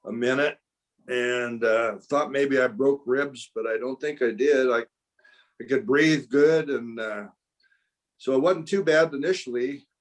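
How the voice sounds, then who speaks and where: A man in his seventies giving a plainspoken, unhurried check-in to his Bible study group. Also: medium pitch. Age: 70s. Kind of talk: casual check-in.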